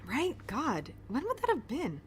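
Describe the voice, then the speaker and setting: Chatty, flustered, good-hearted doctor. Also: female voice, high voice, wildly swooping pitch, measured pace, a little hiss or hum, heavily acted. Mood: breezy. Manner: chatty, awkward, self-interrupting. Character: friendly, flustered, apologetic woman pediatrician who shelters fugitives. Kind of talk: naturalistic audio drama dialogue.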